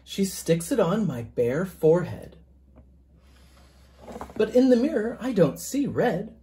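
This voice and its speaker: A teacher reading a children's picture book aloud in a gentle, deliberate, expressive sing-song way. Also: medium pitch, slight background noise.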